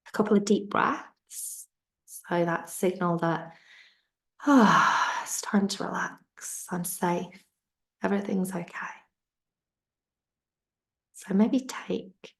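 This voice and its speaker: A woman leads a guided relaxation in an unhurried, gently lowered voice. Her tone is soft and soothing, with a gravelly fry under the words, and at times she drifts into a whisper. It sounds like it was picked up over a video call. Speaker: yoga nidra teacher.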